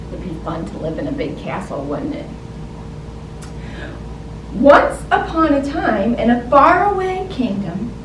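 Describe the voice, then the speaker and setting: A woman storyteller reading a picture book to young children in a sing-song, child-directed way. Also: high voice. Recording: roomy, distant mic, faint noise.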